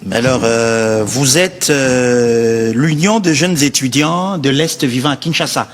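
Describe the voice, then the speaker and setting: A man's voice: mid-range voice, slow. Co-host, man, hesitant interviewer, reverberant set. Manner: hesitant, many "euh" fillers. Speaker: talk show co-host. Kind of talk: studio talk show interviewing.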